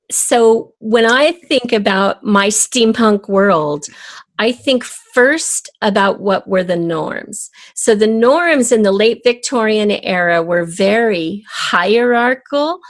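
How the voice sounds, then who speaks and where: A woman being interviewed as an audio drama creator, explaining her ideas in a measured, deliberate way. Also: medium pitch, no room sound.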